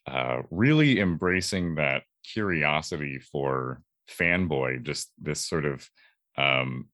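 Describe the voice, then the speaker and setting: Male voice actor interviewee: extremely low bass, unhurried, measured, reflective, pausing often. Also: expressive, pushed and bright, dry recording, clean studio sound. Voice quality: very deep bass timbre. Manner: halting, thoughtful, measured.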